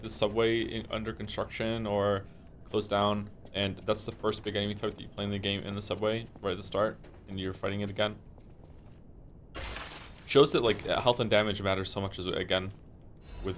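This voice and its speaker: A man with a slightly croaky voice streaming a video game, thinking aloud and correcting himself as he reads game text and chats. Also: fairly low pitch, a little hiss or hum. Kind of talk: casual gameplay commentary, reading game text aloud.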